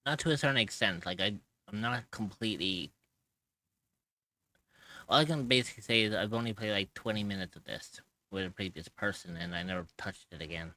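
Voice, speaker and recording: A man's voice: on the low side, a little fast, bright, forceful tone, poor audio. A man hosting a live stream, chatting casually and rambling, laughing often between thoughts. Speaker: streamer hosting a voice-acting session.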